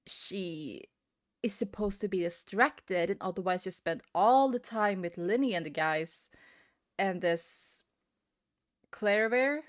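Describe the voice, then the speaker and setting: A woman giving a casual opinion rant to viewers, speaking conversationally in halting phrases with emphatic stresses. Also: mid-range voice.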